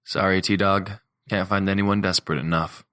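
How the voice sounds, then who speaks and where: A man's voice: deep voice, fairly even intonation, normal speed, fairly bright tone, no room sound, clean studio sound. A male narrator voicing a crude, mocking older brother. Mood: teasing. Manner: mocking, cocky.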